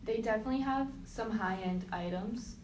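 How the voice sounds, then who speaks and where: A 21-year-old woman answers focus group questions in a casual, upbeat way. She sounds far from the mic in an echoey room, with steady background noise. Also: on the high side, normal speed.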